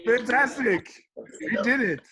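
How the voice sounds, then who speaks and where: A man's voice: very high-pitched, expressive, takes it slowly, crowd noise. A man narrating the script at a casual table read and chatting with the group. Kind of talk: reading screen directions, then casual chat.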